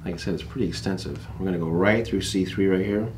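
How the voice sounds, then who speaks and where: A man's voice: low-pitched, fast, a little mellow. A middle-aged man talking casually as a chiropractor, informal and joking, with some salty language. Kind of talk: casual patient consultation and commentary. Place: small office treatment room. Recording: background noise, room ambience.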